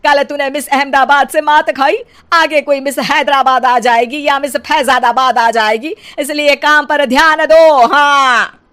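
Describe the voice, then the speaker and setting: A woman's voice: sits high, steady tone, a little slow, a bit bright, pitched above the performer's own voice. A woman voicing a doting, boastful middle-aged mother-in-law in a comic story, chatty and coaxing. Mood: bossy.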